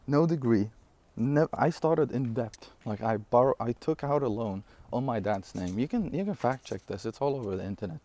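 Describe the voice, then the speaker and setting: A man in his twenties from Syria, for whom English is not his first language, giving an energetic, rambling business pitch straight to camera with frequent fillers and laughs. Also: normal speed, hardly any pauses. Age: 20s. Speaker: online business coach. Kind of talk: casual direct-to-camera talk.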